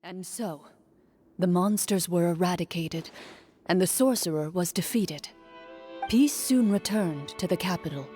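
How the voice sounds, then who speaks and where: An actress playing a calm, earnest, dutiful woman champion in a video game, speaking in a measured, formal way. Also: on the low side, soft background music, lightly acted. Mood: solemn storytelling.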